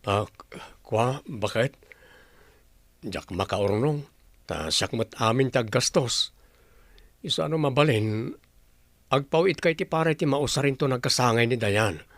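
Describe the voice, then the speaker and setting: A man playing a gentle, struggling father in a radio drama, hesitant and placating. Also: medium pitch, expressive, measured pace, long pauses, dry recording. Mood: weary, gentle. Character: struggling, gentle, resigned father of a young child. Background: music.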